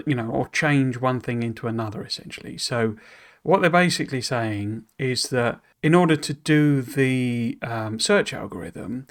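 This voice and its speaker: A man of middle pitch reads a developer blog aloud and breaks off into relaxed explanations. His talk is hesitant and rambling, with many "you know"s, restarts and apologetic stumbles over foreign words. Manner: explains in loose asides full of "you know". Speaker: streamer reading a game dev blog. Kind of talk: reading aloud then explaining casually.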